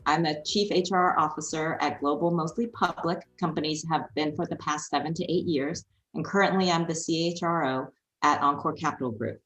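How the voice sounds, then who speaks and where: Low-voiced woman from Hong Kong. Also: fairly bright tone, reverberant, low-quality recording. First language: Chinese. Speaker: interview guest, HR executive. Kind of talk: prepared interview answers.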